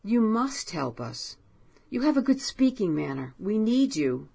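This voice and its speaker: A woman's voice: mid-range voice, very animated, unhurried, gravelly, mellow, slightly rough, voice pushed up, full character voice. A woman voicing a grim, frightened and angry male writer in a storybook reading, pleading urgently. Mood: impassioned. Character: grim, brooding male writer, driven and bitter.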